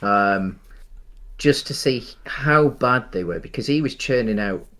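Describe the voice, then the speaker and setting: Man, halting casual film talk. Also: medium pitch, animated, bright, forceful tone. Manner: hesitant, halting, rambling phrasing. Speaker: podcast co-host.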